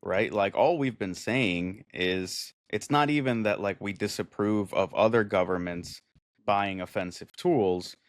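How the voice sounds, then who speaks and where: A man's voice: lowish voice, a little slow, no echo, studio quality. A man co-hosting a podcast, talking in a rambling, profane, argumentative way. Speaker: podcast co-host. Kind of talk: casual chat.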